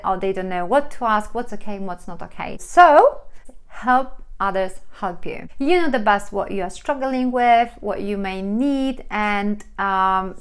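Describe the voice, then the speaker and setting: A woman's voice: mid-range voice, smooth. A woman with a Polish accent gives encouraging workplace advice in her second language. She talks earnestly to the camera, restarting phrases and reaching for fillers as she goes. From Poland. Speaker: vlogger giving workplace advice. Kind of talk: direct-to-camera advice talk.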